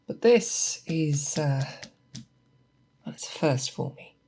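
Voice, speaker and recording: A woman playing a seasoned, patient monster hunter in an ASMR roleplay, speaking softly and thoughtfully to an apprentice, close to the mic. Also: low-pitched, lively intonation, full character voice. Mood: musing, grave. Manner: deliberate, hesitant, thoughtful explaining. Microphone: close binaural microphone.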